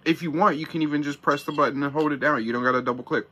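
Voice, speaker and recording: A man's voice: on the high side, fairly even intonation, rapid-fire, pushed and bright, slight background noise. A man in his thirties giving a casual, profane, hyped product review in slang, seated in a small camper van and talking into a camera close by. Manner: hyped, slangy, swears a lot.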